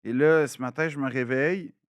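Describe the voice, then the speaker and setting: A man with a Québécois French accent, telling a story in a casual podcast chat, animated and full of restarts. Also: mid-range voice, brisk, clear, resonant tone, dry recording. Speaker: podcast co-host. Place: recording studio.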